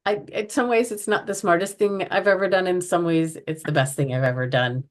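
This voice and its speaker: A woman's voice: on the low side, fairly quick. An American woman speaking earnestly as a podcast guest, often restarting and searching for words. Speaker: guest, project founder. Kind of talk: podcast interview.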